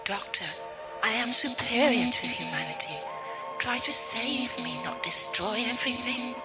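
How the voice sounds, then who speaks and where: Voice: mid-range voice, expressive, a little slow, natural voice. A performer voicing a doomed, haughty computer in an audio drama, formal and declamatory. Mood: pleading. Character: doomed, haughty, pleading master computer's babe machine. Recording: loud music over old cassette recording.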